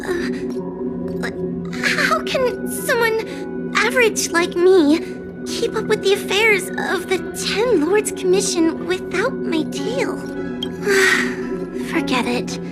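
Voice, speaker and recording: A woman's voice: very high-pitched, expressive, slow pace, pauses often, loud music behind the voice, full character voice. A woman voicing a timid, self-doubting young girl in an anime game, hesitant and meek. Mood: downcast, timid. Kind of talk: anime game cutscene voice acting.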